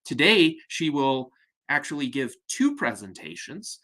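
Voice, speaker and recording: Male host, formal and announcing, bright voice, video call. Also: medium pitch, sing-song, measured pace. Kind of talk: introducing a guest.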